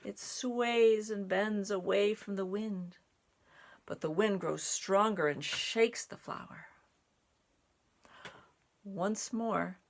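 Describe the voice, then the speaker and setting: A woman reading and explaining in simple language, as a storyteller and sign language teacher for young children. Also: mid-range voice. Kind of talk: picture-book read-aloud and casual sign language teaching.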